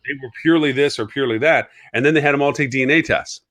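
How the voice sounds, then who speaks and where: A man's voice: mid-range voice, racing, fairly bright tone, no echo. A man co-hosting a live marketing show, talking casually and repeating words as he reasons things out. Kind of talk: casual live-stream discussion.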